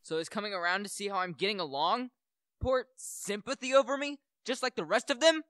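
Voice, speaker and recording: A man's voice: high voice, a little fast, sharp tone, no echo, clean studio sound. A teenage male student actor playing a furious, reclusive widowed master of the house in a radio play, curt and commanding. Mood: bitter, stern.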